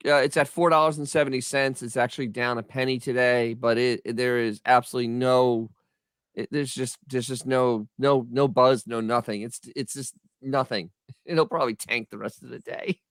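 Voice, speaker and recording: Mid-pitched male co-host, scornful and mocking, dry sound. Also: steady tone, normal speed, a bit bright, no room sound. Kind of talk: casual livestream commentary.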